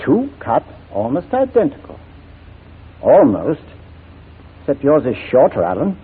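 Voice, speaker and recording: A blustering general from a 1940s radio play, hemming and easily rattled. His husky voice is lively, leaping up and down in pitch. The recording is thin and narrow, like a telephone. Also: a little slow, exaggerated voice. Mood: amazed. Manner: hemming, repetitive, flustered authority. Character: blustery, easily flustered male general, an official investigator. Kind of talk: 1940s radio drama acting.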